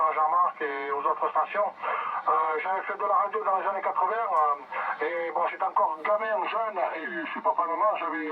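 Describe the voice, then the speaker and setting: A middle-aged man chatting casually and helpfully over two-way radio as an amateur operator. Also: a little high. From France. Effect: two-way radio transmission. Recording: tinny narrow-band CB radio, clear static noise.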